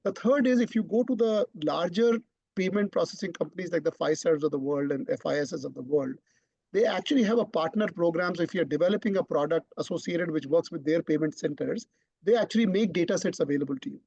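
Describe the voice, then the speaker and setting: A man's voice: on the high side, fast, gravelly, a little mellow, dry recording. A man, a startup chief executive, explaining his company's plans in an online question session, often repeating words as he thinks. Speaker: startup CEO presenting. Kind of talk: online business Q&A answer. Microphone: video call. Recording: dull lo-fi online call audio.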